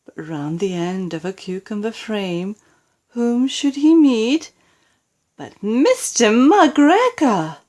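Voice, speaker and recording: Slow-paced British woman reading a children's story. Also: on the high side, sing-song, a little mellow. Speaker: storybook reader. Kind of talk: expressive children's story narration.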